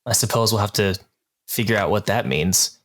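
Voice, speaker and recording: A man's voice: lowish voice, normal speed, a bit bright. A man hosting a podcast in a casual, chatty style as he interviews a cast. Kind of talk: casual chat.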